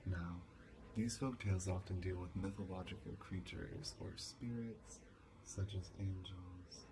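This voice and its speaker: A young man playing a calm college professor in a soft-spoken ASMR lecture roleplay, measured and didactic. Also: deep voice. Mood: calm, instructive. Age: young adult. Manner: measured, didactic, with rhetorical questions. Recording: clearly noisy, hissy home recording.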